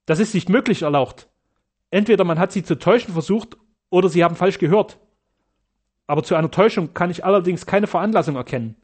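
A man's voice: on the high side, brisk, close to an everyday voice. A man voicing a fearless German doctor in an audiobook, speaking formally and accusing his rivals heatedly. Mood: urgent.

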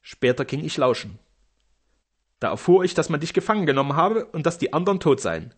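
A sly highway robber who brags eagerly about his deeds, as a male reader puts him on. The voice sits higher and louder than the narration, with a breathy edge. A storybook outlaw, talkative and cocky. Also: big pitch swings, a little fast. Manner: boastful, eager.

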